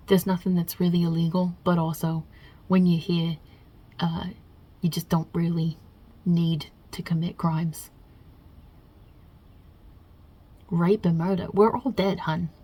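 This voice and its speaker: A woman's voice: fairly low pitch, warm tone, husky. A woman voicing God as a brash, short-tempered, non-binary Italian mob boss in an ASMR roleplay, with a put-on Italian-New York accent and a blunt, chummy, rambling delivery. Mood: matter-of-fact. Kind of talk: ASMR roleplay interview, improvised.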